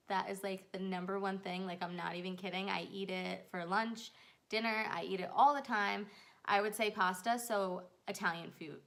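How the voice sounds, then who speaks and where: A woman's voice: mid-range voice, gravelly, a bit bright. Chatty young woman vlogger. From New York. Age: 20s. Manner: chatty, rambling, with fillers. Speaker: YouTuber. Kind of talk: casual get-to-know-me vlog.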